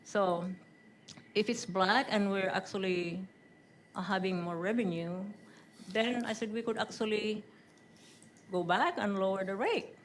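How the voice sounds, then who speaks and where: A woman's voice: mid-range voice, measured pace, pauses often, a little hiss or hum. A woman from the Philippines answering interview questions in a public meeting room, chatty, with frequent ums and laughs. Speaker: job candidate.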